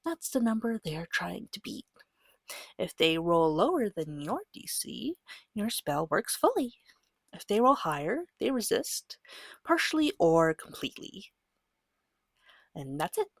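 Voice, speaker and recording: Breathy, slow, soft-spoken ASMR woman. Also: medium pitch, sing-song, dry recording. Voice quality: soft, breathy, often dropping to a whisper. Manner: gentle, patient, reassuring, unhurried. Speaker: game master guiding a beginner player.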